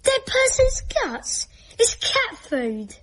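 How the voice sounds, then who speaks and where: A woman's voice: very high voice, sing-song, very slow, a bit bright, slight background noise, heavily acted. A female performer playing a bossy child who tells gruesome secrets in a radio drama.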